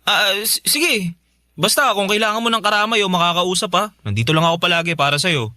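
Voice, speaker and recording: A man's voice: sits high, brisk, pushed and bright, no room sound, studio quality. A man playing an earnest, suspicious young best friend in a Filipino radio drama, hesitant in manner. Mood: subdued, caring.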